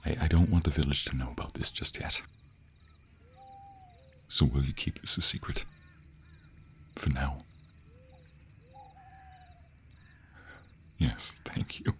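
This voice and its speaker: A man voicing a devoted, protective vampire boyfriend in an intimate romantic audio roleplay, speaking earnestly and hesitantly, often in a breathy hush. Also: rumbling low, moderate pace, pauses often, slight background noise. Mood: tender, loving.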